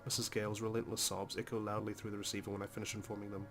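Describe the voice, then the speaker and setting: A man's voice: lowish voice, completely flat delivery, rapid-fire, a bit bright. A man hosting a let's play, reading visual novel narration aloud dramatically with casual, emotionally involved comments. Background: game music.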